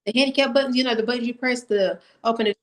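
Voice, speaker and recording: An American woman hosting an interview over a video call, talking in a friendly, halting way with fillers. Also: a little high, steady tone. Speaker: podcast host. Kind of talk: casual interview chat.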